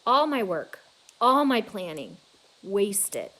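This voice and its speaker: A woman reading a children's novel aloud in an expressive, dramatic storytelling manner. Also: medium pitch, takes it slowly. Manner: dramatic, with emphatic exclamations and hushed asides.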